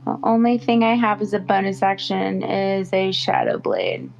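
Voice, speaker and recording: A woman's voice: medium pitch, huge pitch swings, moderate pace, vocal fry, a little mellow, lo-fi sound, faint music behind. A woman playing a tabletop role-playing game, chatting casually in a tentative, questioning way.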